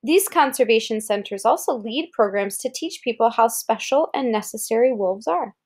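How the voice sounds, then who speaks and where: Female, higher-pitched voice, read-aloud narration for a young listener, explanatory delivery with casual asides. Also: animated, darkish tone. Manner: explanatory, pausing to gloss words and point out pictures. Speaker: reader presenting an article aloud to a child.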